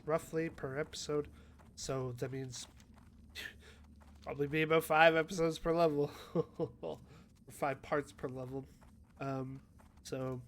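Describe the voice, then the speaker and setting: Flat-voiced sniffly man rambling casually. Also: a little high, a little hiss or hum. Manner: hesitant, many fillers and restarts. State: sniffly from a cold. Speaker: gaming YouTuber. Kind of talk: casual rambling commentary while playing.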